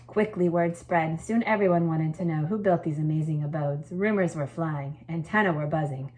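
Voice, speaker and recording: A woman with a low-pitched voice speaks as a teacher to her pupils. Her delivery suits reading a picture book aloud and explaining schoolwork to children. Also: normal speed, a little mellow. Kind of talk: expressive storybook read-aloud, then informal assignment explanation.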